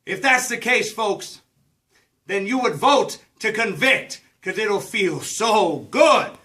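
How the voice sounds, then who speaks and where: Give the stage a manipulative male trial lawyer, acted by a man, delivering a rousing closing speech. The voice is high and bright, the delivery slow and emphatic, built on rhetorical questions. It is picked up from some distance. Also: expressive, exaggerated voice. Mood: rousing, fervent. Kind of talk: theatrical monologue, closing argument to a jury.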